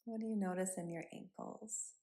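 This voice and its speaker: A woman yoga instructor leading a body scan meditation in a gentle, instructive manner with pauses between cues. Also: mid-range voice, steady tone. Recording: roomy, echoing sound.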